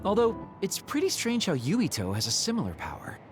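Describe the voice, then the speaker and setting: A man's voice: sits high, huge pitch swings, brisk, over music. A man voicing a cynical, guarded young man in an English video game dub, speaking in a wry, blunt way. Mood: matter-of-fact.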